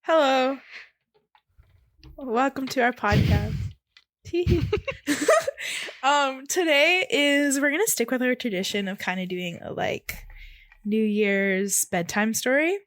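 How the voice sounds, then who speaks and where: A woman co-hosting a podcast in loose casual chat, with a giggly, excitable, irreverent manner. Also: on the high side. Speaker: podcast co-host.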